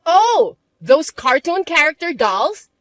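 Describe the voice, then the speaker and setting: A woman voicing a spoiled young stepsister who taunts and bosses in a shrill, mocking way. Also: big pitch swings, slow, fairly bright tone, no room sound, heavily acted. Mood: taunting.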